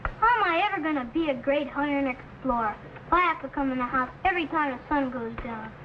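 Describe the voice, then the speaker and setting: A woman's voice: sits high, quick pace, lo-fi sound, close to an everyday voice. A woman playing an eager, earnest young boy who dreams of exploring, in an old-time radio adventure. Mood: eager, protesting. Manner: earnest, protesting, boyish. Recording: slightly noisy old radio recording.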